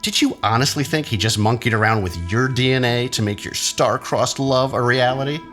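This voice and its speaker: A man's voice: medium pitch, fairly quick, fairly bright tone, faint music behind, lightly acted. A man playing an arrogant, menacing scientist in an audio drama, cynical and taunting with rhetorical flair. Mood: taunting, intense. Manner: sardonic, theatrical, probing with rhetorical questions.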